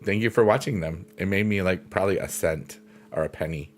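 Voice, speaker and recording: Man, very deep voice, livestream host, rambling casual chat, lots of fillers, faint guitar music in background. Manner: rambling, unhurried, many fillers like "you know" and "um". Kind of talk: casual live-stream chat, reading viewer comments.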